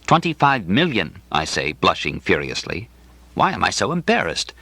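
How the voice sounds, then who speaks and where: A man narrates a literary audiobook in a low, bright voice. His reading is precise and dryly expressive, with lively movement in the pitch. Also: moderate pace, creaky, dry recording. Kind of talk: literary first-person novel narration.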